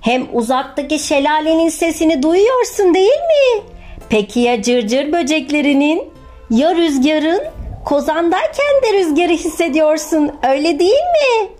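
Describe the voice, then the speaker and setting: A woman voicing a kind, patient female butterfly in a children's storybook, curious and gently instructive. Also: sits high, very animated, a little slow, faint music behind. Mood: reassuring.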